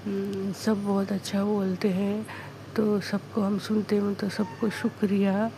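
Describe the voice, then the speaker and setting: A hoarse woman speaks an earnest devotional testimony in halting, repeated phrases of thanks. Her voice has a gravelly fry and stays flat in pitch. The home recording carries clear background noise. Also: rough recording. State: sore throat, hoarse.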